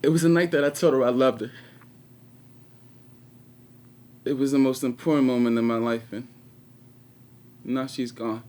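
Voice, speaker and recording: An actor playing an anguished, regretful 21-year-old prisoner in a confessional stage monologue with dramatic pauses. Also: male voice, medium pitch, fairly even intonation, darkish tone, lightly acted. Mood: mournful. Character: anguished 21-year-old male prisoner, former track star, bitter and regretful.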